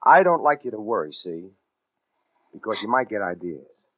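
A man's voice: on the low side, very animated, normal speed, dry recording. An actor playing an edgy, slangy American gunman in a 1940s radio crime drama, talking tough and clipped. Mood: coaxing, menacing. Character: edgy, hard-boiled gunman narrating and bullying his girlfriend. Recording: muffled 1940s radio recording, faint music.

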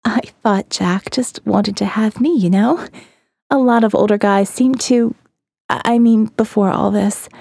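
A woman's voice: mid-range voice, measured pace, gravelly, warm tone, no echo, clean studio sound. A woman voicing a candid, amused and wistful young woman in an audio novel, with a shrugging manner that tends to trail off. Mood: regretful, nostalgic.

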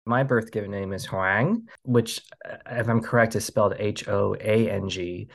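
A man in his thirties from the United States, a filmmaker speaking as a guest in a casual interview, halting with many fillers and restarts. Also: lowish voice, gravelly, soft background music.

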